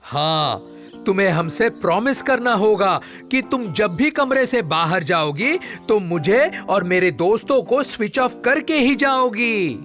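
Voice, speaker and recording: A man's voice: high voice, lively intonation, unhurried, a bit bright, soft background music. A man voicing a cheeky, earnest talking television set in a children's cartoon, declaiming his lines. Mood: earnest warning.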